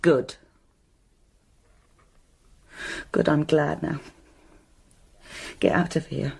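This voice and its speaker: An actress playing a bitter, wounded widow in a radio drama, sarcastic and confrontational. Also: female voice, sits low, steady tone, takes it slowly, creaky, a little mellow, husky, full character voice. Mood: cold fury. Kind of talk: modern radio drama acting.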